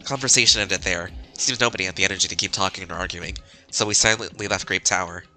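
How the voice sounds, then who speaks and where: A man live-streaming a game with goofy, excitable commentary, reading the text aloud with a slightly stuffy nose. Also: low-pitched, fast, faint music behind.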